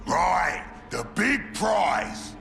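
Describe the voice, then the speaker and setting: An actor playing a cunning, brash ork warboss who shouts and schemes in a gravelly voice. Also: male voice, high voice, big pitch swings, extremely slow, echoey room, faint music behind, full character voice. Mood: bellowing.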